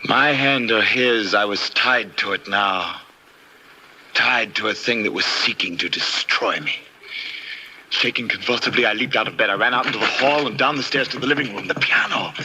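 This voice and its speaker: A man's voice: medium pitch, expressive, normal speed, background noise, natural voice. An actor playing a tormented man losing his mind, narrating and acting in an intense, theatrical 1950s radio horror style. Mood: dread, agitation. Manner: intense, theatrical, swinging from hushed to desperate. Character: haunted, frantic male pianist losing his mind. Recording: old radio broadcast, music underneath.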